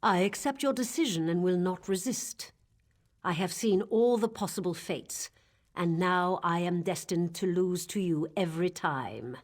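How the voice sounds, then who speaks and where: A woman voicing a calm fatalistic cult killer. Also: lowish voice, measured pace.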